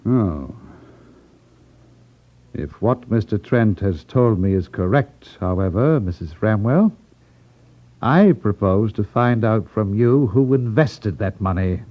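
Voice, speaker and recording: An actor playing a suave, cold-blooded crime boss with genteel, condescending politeness in a 1950s radio drama. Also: male voice, lowish voice, expressive, slow. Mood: incredulous, pressing. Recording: muffled vintage 1950s radio recording.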